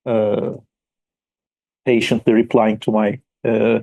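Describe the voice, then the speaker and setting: A man's voice: fairly low pitch, level tone, takes it slowly, gravelly, narrow, tinny audio. A man, a historian, giving a conference paper in a hesitant way with many fillers. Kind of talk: academic conference talk. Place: conference room.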